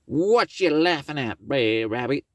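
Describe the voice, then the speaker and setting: Guy doing a gruff scheming fox, storybook style. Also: mid-range voice, animated, unhurried, fairly bright tone, no echo, voice pushed up. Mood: menacing. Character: cunning blustering male fox.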